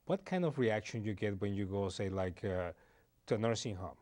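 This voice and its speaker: A man's voice: lowish voice, lively intonation, normal speed, darkish tone. A man interviewing guests on a TV show, laughing often and restarting his questions. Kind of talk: casual interview.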